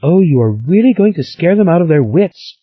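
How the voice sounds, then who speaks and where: A man's voice: medium pitch, expressive, moderate pace, slightly rough, voice pushed up. A man voicing a sulky, melodramatic young woman niece in an audiobook. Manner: dramatic, sighing. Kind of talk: audiobook character voice. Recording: slightly dull lo-fi recording.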